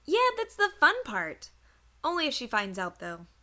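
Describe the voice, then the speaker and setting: Coy storybook pony, female performer, playful tease who loves gossip, high-pitched and very expressive. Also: normal speed, exaggerated voice. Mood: teasing. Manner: coy, teasing. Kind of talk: audiobook character acting.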